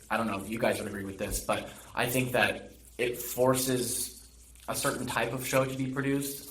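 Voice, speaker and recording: Low-voiced man in an echoey room. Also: fairly bright tone. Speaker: theatre director and teacher. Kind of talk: casual, reflective interview answers. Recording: roomy echo, background noise.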